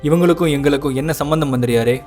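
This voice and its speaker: A man playing an earnest, indignant young orphan at a royal court in an animated tale, polite but protesting. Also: a little high, very fast, soft background music, close to an everyday voice. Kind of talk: animated moral story dialogue.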